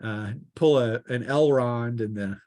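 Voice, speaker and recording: A man running a tabletop fantasy game as game master, improvising narration and often pausing mid-sentence. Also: mid-range voice, darkish tone, no room sound.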